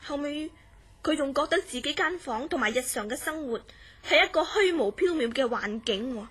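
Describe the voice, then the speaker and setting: An actress playing a concerned young woman in a Cantonese horror radio drama, telling gravely of her troubled friend with a Hong Kong accent and measured, polite delivery. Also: sits high, expressive, a bit bright. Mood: earnest, mysterious.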